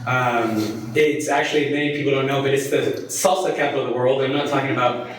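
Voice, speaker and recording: A man's voice: mid-range voice, steady tone, a little fast. A young man from Colombia, a fundraising consultant, giving a friendly, joking presentation to a parish audience with many fillers. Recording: distant mic in a very echoey hall.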